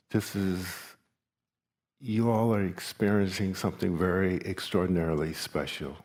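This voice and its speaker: Seventies man, Gullah first language; low, slow, gravelly-husky teacher pausing often. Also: fairly even intonation. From South Carolina coast, United States. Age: 70s. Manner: slow, halting, with long pauses. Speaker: meditation teacher. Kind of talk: retreat teaching talk. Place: meditation hall.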